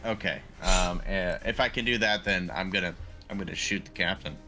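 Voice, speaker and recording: A man's voice: deep voice, a little fast, sharp tone, soft background music. A man playing a tabletop role-playing game, casually saying what his character does and sometimes speaking for him.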